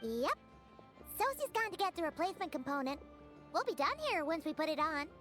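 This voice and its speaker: A woman voicing a small, bubbly childlike fairy companion in a video game, with a squeaky, perky delivery. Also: big pitch swings, brisk, fairly bright tone, soft background music. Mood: cheerful. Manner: perky, refers to self by name.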